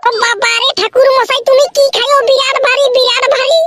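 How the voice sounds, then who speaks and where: A man voicing a whiny, excitable village schoolboy in a comic cartoon, with a Purulia Bengali accent and a pitched-up voice. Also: brisk. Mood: whining. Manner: complaining, rustic. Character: whiny, excitable village boy, lazy exam student. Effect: pitch-shifted up. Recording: very noisy track with ambient sound.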